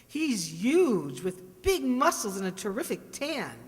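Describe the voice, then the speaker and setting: A woman's voice: fairly high pitch, big pitch swings, takes it slowly. A woman playing a spirited, chatty housewife in an old-style radio sitcom. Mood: gushing. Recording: long hall reverb.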